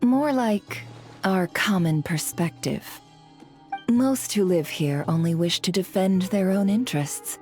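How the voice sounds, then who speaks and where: A woman's voice: medium pitch, takes it slowly, a little mellow, soft background music, lightly acted. A woman playing a shrewd, coolly polite intelligence broker in a video game, composed and coy. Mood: persuasive, cool. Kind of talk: video game character dubbing.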